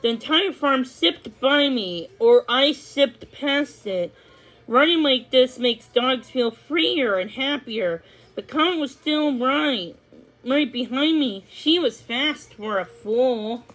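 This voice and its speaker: A woman's voice: high-pitched, animated, takes it slowly, a bit bright, rough recording. A woman narrating a scripted children's story as a read-along.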